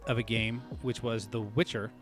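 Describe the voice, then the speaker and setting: A man co-hosts a casual podcast, rambling and restarting his sentences. His voice swings up when he gets excited. Faint music sits under him. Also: on the low side, moderate pace. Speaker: podcast co-host. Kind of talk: casual chat.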